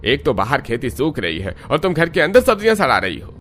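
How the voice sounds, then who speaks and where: A man's voice: medium pitch, wildly swooping pitch, a little fast, fairly bright tone, slight background noise. A man voicing an angry village husband in a cartoon, harsh and reproachful. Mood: angry, scolding.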